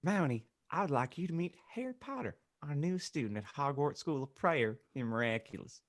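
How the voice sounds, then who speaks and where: A man's voice: on the high side, animated, normal speed, voice pushed up. A man voicing a genial, magnanimous reverend father in a comic audiobook reading. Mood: hearty, genial. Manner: magnanimous, hearty, declaiming.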